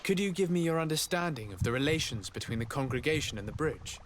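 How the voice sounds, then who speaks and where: Actor as a composed, courteous noble envoy. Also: male voice, a little high, lively intonation, quick pace, a little hiss or hum, natural voice. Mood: composed, inquiring. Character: polite young nobleman serving as legate, reserved and composed. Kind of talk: formal role-playing game dialogue.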